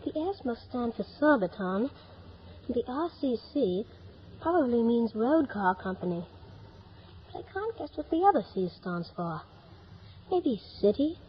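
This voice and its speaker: An eager, wide-eyed young boy speaks in a high, lively voice, polite and earnest. It is 1950s radio drama acting on a muffled, noisy old recording. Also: a little slow, pauses often. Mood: hushed, puzzled. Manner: earnest, breathless, questioning.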